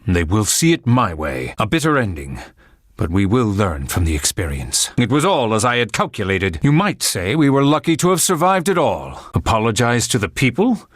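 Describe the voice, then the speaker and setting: A stiff, calculating male politician who lives by the rules in a video game. He speaks formally and officiously, his pitch moving widely as he goes. The recording is dry and free of room sound. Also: big pitch swings, no echo. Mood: haughty, officious. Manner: formal, officious, measured wording. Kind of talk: dramatic video game voice acting.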